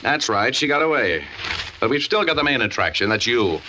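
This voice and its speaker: A man's voice: medium pitch, big pitch swings, a little fast, close to an everyday voice. An actor playing a sardonic, slangy hard-boiled male private detective in a 1940s style radio drama. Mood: cool, in control. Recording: noisy, muffled old radio recording with clicks.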